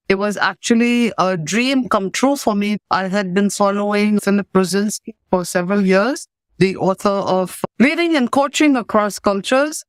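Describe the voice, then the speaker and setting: A woman's voice: mid-range voice, clean tone, no echo. A woman from Pakistan whose first language is English, a certified coach telling her story earnestly in an interview over a video call. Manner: earnest, reflective.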